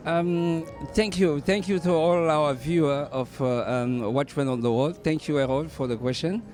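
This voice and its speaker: Earnest Congolese-accented reverend, music behind. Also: male voice, on the high side, darkish tone. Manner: earnest, halting with many fillers and restarts. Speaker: reverend, event organizer. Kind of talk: interview answers. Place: hotel dinner event.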